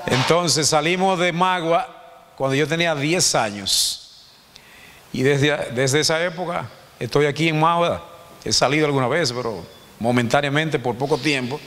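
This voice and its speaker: A man's voice: medium pitch, fairly bright tone. Dominican man in his fifties, reverberant hall. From Dominican Republic. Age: 50s. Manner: conversational, joking, asks the congregation "¿verdad?". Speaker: church teacher. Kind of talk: informal Sunday teaching. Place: church hall.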